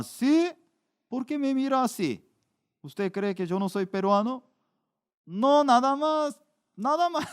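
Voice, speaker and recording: A middle-aged man, preaching a sermon in a slow, deliberate way, with sudden loud rises for emphasis. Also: fairly high pitch, clear, resonant tone. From Korea. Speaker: pastor.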